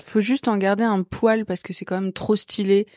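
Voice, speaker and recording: A woman hosting a podcast in a casual, relaxed tone, cheering her guest on with quick replies. Her voice sits at a middling pitch and sounds dry and close to the mic. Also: dry recording. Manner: relaxed, laughs and brief encouraging replies. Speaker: podcast host. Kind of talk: casual interview chat.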